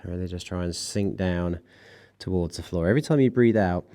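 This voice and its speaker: Low, mellow male fitness coach with a blocked nose; calm, steady stretch cues, a dry joke now and then. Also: brisk. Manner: calm coaching with light self-mocking asides. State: congested from a cold, a bit nasal. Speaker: fitness instructor. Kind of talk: guided stretching class.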